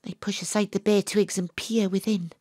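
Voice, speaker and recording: An actress with a Welsh accent playing an excitable young woman investigator in an audio drama, with breathless, suspenseful delivery. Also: medium pitch, moderate pace, no echo, clean studio sound, lightly acted. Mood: hushed, tense. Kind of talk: audio drama narration and dialogue.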